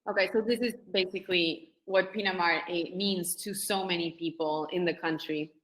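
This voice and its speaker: A woman's voice: on the low side, steady tone, moderate pace, a bit bright, reverberant, rough recording. A woman, speaking as a panelist on a video call in a friendly way, a little flustered by her screen share. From Argentina.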